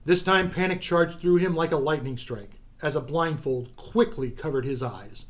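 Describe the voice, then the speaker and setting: A man's voice: on the high side, moderate pace. A man narrating a radio adventure serial in a theatrical, suspenseful storytelling style.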